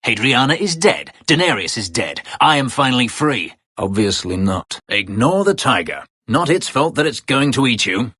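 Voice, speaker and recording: An actor voicing a brooding, bitter elf warrior and escaped slave in a video game, grave, sardonic and measured, with a husky, gravelly voice. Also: male voice, medium pitch, very animated, bright, forceful tone, no echo, studio quality, exaggerated voice. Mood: dry, sardonic. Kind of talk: video game character acting.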